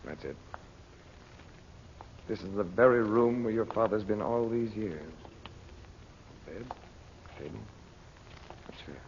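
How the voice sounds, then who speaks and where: A muffled, noisy old radio adventure recording carries a low male voice. a cautious, commanding uncle who speaks in measured, calm phrases, like a leader guiding a younger companion. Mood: grave, subdued. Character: calm, cautious uncle leading his young nephew through ruins. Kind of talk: 1940s radio adventure acting.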